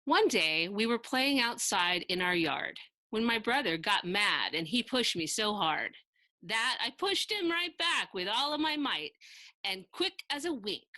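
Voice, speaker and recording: A woman reading a children's picture book aloud in an expressive, theatrical, sing-song way with emphatic rhyming delivery. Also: mid-range voice, unhurried, dry recording. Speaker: storybook narrator reading aloud.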